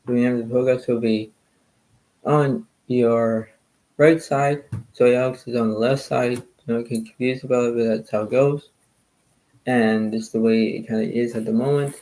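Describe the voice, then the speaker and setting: A soft-spoken man, a little hoarse, hosting a card game and rambling in repeated filler phrases. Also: medium pitch, poor audio. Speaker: channel host playing cards. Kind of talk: casual rambling talk to camera.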